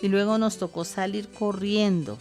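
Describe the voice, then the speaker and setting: A woman's voice: medium pitch, fairly even intonation, moderate pace, darkish tone, soft background music, lightly acted. An older woman with a Colombian accent playing a grandmother who recounts her rural childhood in a radio drama. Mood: grave, reminiscing. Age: over 60.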